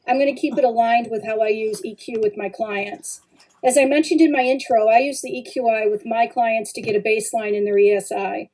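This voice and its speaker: A woman's voice: on the high side, dark tone, rough recording. A woman who works as a trauma healing facilitator, explaining things steadily on a podcast. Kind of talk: podcast talk.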